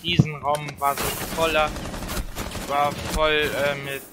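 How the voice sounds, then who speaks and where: A man's voice: fairly high pitch, level tone, slow, heavy background noise. A young man chatting casually while hosting a gaming video, slangy, crude and rambling. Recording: game music and gunfire behind voice. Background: game music, gunfire.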